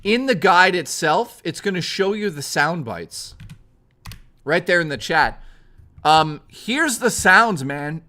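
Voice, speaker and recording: A young man hosts a live stream. His voice is hoarse from hours of talking, yet it stays bright and forceful, swinging through a lively pitch range as he chats excitably with viewers and swears freely. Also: slow. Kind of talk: casual live-stream chat.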